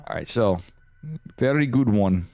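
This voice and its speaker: A man's voice: lowish voice, animated, a little slow, vocal fry, a little mellow, dry recording, soft background music. A man with an American accent from upstate New York, chatting casually and rambling with viewers as he hosts and commentates a game livestream. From upstate New York, United States. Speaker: esports caster and stream host.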